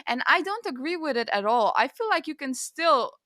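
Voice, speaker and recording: Bright, higher-voiced woman podcaster. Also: sing-song, moderate pace, dry recording, studio quality. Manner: informal and opinionated, peppers speech with "like". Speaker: podcast host. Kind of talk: casual book discussion.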